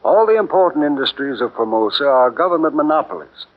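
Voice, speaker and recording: An actor playing a male missionary in a 1940s radio drama, explaining things with a steady delivery. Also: fairly high pitch, fast, lightly acted. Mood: earnest. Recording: muffled 1940s radio broadcast.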